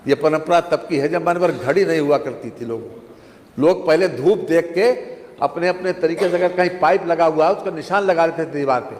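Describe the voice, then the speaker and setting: A man's voice: a little high, brisk, a little mellow. A man replying as a minister in an assembly debate, emphatic and repetitive, with formal honorifics. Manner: emphatic, repeats phrases and uses formal honorifics. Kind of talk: impromptu parliamentary rebuttal. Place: large legislative chamber. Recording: reverberant assembly hall, faint noise.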